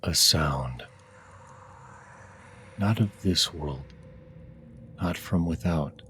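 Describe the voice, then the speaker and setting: A man narrating a scripted ASMR fantasy game as game master, hushed and deliberate, with dramatic pauses. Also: sits low, slight background noise.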